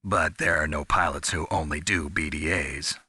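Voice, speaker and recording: Gruff tired soldier guy from a video game, low raspy voice, dry wry delivery. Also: moderate pace, no echo, exaggerated voice. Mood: curious, matter-of-fact. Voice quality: gravelly, husky. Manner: terse, wry, deadpan. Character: gruff, world-weary male covert soldier. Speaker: soldier on a mission. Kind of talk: scripted video game voice acting.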